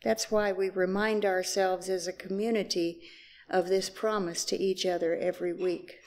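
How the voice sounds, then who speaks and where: A woman worship associate reading liturgy aloud in a measured, solemn way. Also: on the low side, fairly even intonation, darkish tone.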